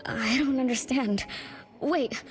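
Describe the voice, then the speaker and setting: Casting: meek, confused girl for a game, light higher voice, slow hesitant delivery, music underneath. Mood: bewildered. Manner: hesitant, halting. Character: timid, bewildered young girl, earnest and emotional. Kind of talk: video game voice acting.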